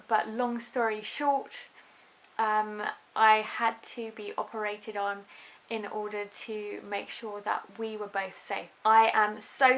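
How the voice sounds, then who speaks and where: A young woman with a faint Russian accent, softened by years in London, chatting earnestly to camera about becoming a mother, with frequent ums and small restarts. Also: medium pitch, low-quality recording. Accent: Russian, softened by a London upbringing. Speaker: vlogger, new mother. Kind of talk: casual talk to camera.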